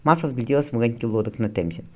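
A man's voice: medium pitch, lively intonation, rapid-fire, dark tone. A man reading a story aloud as scripted narration with acted dialogue, often stumbling and repeating words. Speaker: audiobook reader.

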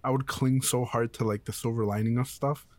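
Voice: mid-range voice, steady tone, brisk, no echo. Breezy voice, dry close sound. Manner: breezy, laughing, gives pep-talk advice. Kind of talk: casual chat.

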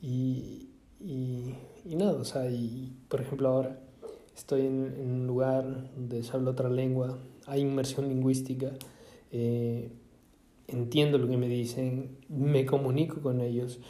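A man from Ecuador musing aloud in a casual monologue, halting often with fillers and restarts. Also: mid-range voice, flat delivery, dark tone. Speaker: vlogger. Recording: echoey room.

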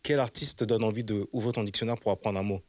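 Fast, emphatic Frenchman, low voice. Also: steady tone, dry recording. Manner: emphatic, rapid, keeps asking "you see what I mean?". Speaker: YouTube channel host being interviewed. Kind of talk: casual interview chat.

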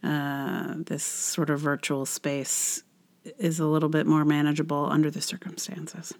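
A woman's voice: deep voice, flat delivery, measured pace, a little mellow. A middle-aged woman hosting a podcast, chatting casually on her own in a wry, self-deprecating way. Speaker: podcast host and musician. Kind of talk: casual solo podcast monologue.

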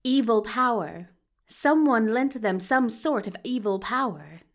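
A woman's voice: on the high side, animated, a little slow. A woman voicing a menacing, contemptuous male villain doctor with a dangerous, commanding manner in an audio drama. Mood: cold, dangerous. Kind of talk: audio fiction acting.

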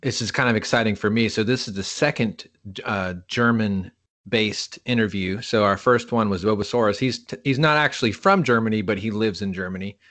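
A laid-back man from the East Coast of the United States hosts a casual interview, his voice sitting a little low. He talks in a relaxed way, tossing in "man" and "dude" as he asks questions. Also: a little fast. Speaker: podcast host.

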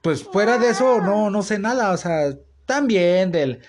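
A man's voice: high voice, expressive, unhurried, darkish tone. A man in his thirties with a Mexican accent, hosting a casual podcast chat and rambling in slang with lots of restarts. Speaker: podcast host. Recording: noisy home podcast recording.

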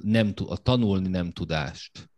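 A man hosting a parenting podcast, introducing the topic and posing measured questions to his guests. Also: low-pitched, a little mellow, dry recording, highs cut off. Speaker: podcast host. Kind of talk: podcast interviewing.

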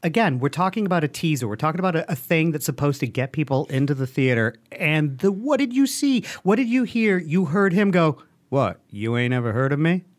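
A man co-hosting a casual movie podcast, ranting sarcastically with animated jokes. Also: a little high, fairly quick, few pauses. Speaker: podcast co-host.

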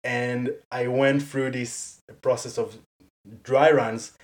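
A guest speaking English with an Israeli accent as a second language after Hebrew, chatting casually in an interview, animated and quick to laugh, with lots of "like" and restarted sentences. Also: medium pitch.

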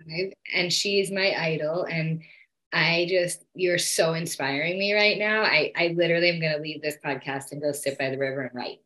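A woman's voice: low-pitched, steady tone, moderate pace, fairly bright tone, poor audio. A woman co-hosting a podcast interview, effusive and enthusiastic in her reactions. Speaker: podcast co-host. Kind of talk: conversational interview.

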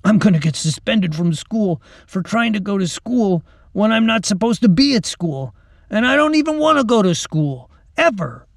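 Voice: medium pitch, lively intonation, normal speed, gravelly, dry recording, clean studio sound, pitched above the performer's own voice. A narrator voicing a small, put-upon schoolboy in an audiobook, exasperated, snappy and world-weary.

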